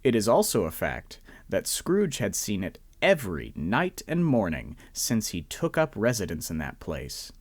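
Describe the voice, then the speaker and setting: A man narrating a radio drama in a measured, expressive storytelling manner. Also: lowish voice, dry recording.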